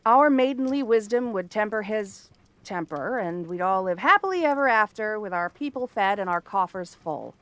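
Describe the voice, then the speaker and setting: Casting: female actor as cursed princess turned frog girl, cranky and theatrical, sharp-tongued but secretly kind, ASMR fantasy roleplay. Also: mid-range voice, animated, normal speed, no echo, natural voice. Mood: wry storytelling. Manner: haughty, sardonic, theatrical tsundere delivery.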